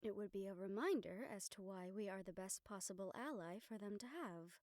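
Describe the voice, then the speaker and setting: A woman playing an affectionate, scheming young noblewoman in an intimate audio roleplay, speaking formally and measuredly with thoughtful pauses. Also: mid-range voice, a little mellow, dry recording, clean studio sound, natural voice. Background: background music.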